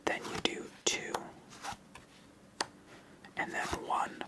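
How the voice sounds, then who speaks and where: An ASMR host speaks entirely in a whisper. The delivery is a methodical card game walkthrough, explaining each move step by step. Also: very slow.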